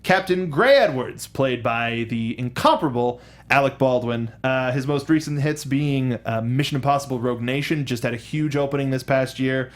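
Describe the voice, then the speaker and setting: A man co-hosting a movie show, giving conversational commentary in an animated way and acting out imagined quotes. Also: medium pitch, normal speed, few pauses. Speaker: co-host of a movie discussion show.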